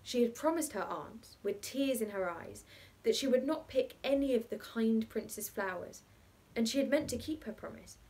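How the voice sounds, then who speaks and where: A coolly inventive bachelor as read by a woman spinning a tale for children. The delivery is matter-of-fact and deliberate, in a fairly high voice, as in a storybook reading. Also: expressive, a little mellow, lightly acted, low-quality recording. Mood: storytelling.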